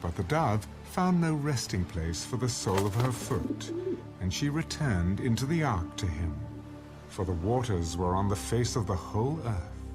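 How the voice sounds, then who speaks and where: A man narrating scripture from a script as an audio Bible, solemn, formal and measured. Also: lowish voice. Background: music under the narration.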